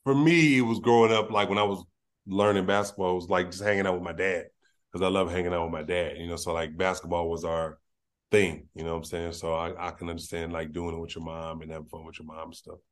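A man from Los Angeles hosting a casual interview, talking in a laid-back, slangy way with a deep voice and ready laughter. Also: level tone, quick pace. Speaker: podcast host.

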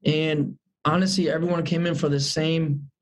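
A man's voice: fairly high pitch, flat delivery, moderate pace, poor audio. A man of thirty, a sales manager as guest, talking about his goals in an upbeat, emphatic way and repeating phrases for emphasis. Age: 30s. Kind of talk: casual interview chat.

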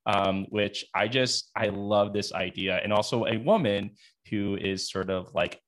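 A man's voice: lowish voice, measured pace, fairly bright tone. A man interviewing casually as a podcast host, thinking aloud and rephrasing as he goes.

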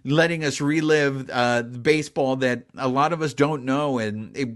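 A man's voice: mid-range voice, normal speed. A man hosting a podcast, asking a guest casual questions in an upbeat, friendly way. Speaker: podcast host.

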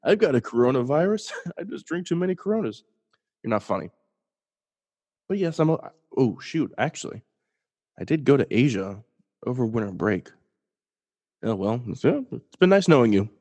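A man's voice: mid-range voice, sing-song, moderate pace, pauses often, a little mellow, husky. A young man with a stuffy, sniffly nose hosting a solo sports podcast, talking casually and joking at his own expense. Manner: casual, jokey, restarts and self-deprecating asides. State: congested, sniffly. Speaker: podcast host.

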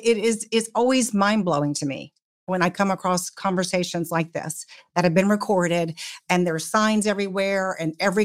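A woman speaking as a psychologist guest, explaining things conversationally and in a measured way on a panel. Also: fairly low pitch.

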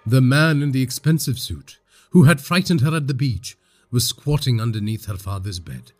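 A man from India narrating a scripted horror story in a husky voice, with dramatic, suspenseful delivery. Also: mid-range voice, moderate pace, darkish tone.

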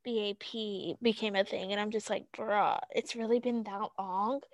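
A woman's voice: mid-range voice, steady tone, normal speed, dry recording, lo-fi sound. A woman from the US Midwest co-hosting a podcast, chatting in a laid-back, slangy way. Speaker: podcast co-host. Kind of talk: casual podcast chat.

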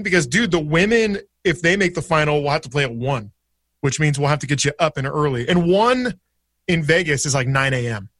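A man's voice: on the high side, fairly bright tone, no echo, clean studio sound. A man co-hosting a casual sports podcast, chatty and jokey as he steers the segments. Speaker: podcast co-host.

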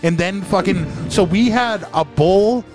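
Higher-voiced man, slangy and profane. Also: takes it slowly, a little mellow, faint music behind. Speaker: podcast co-host. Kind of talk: casual anecdote chat.

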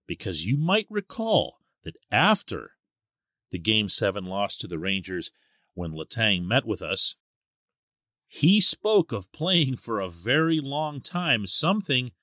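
A man hosting a sports podcast as a columnist, giving solo commentary in an animated, emphatic way. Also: medium pitch, slow pace, dry recording, studio quality. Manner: animated and emphatic, stresses key words.

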